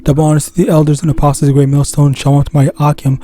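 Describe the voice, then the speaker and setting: Flat-toned man reciting formal religious greetings. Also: fairly high pitch, quick pace. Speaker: religious speaker.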